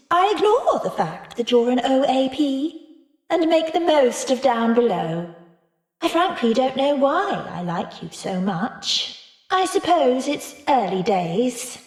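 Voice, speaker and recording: A woman's voice: high voice, exaggerated voice. A woman playing a blunt, teasing young British woman with an arch manner in an audio drama, recorded with some room echo. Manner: arch, provocative.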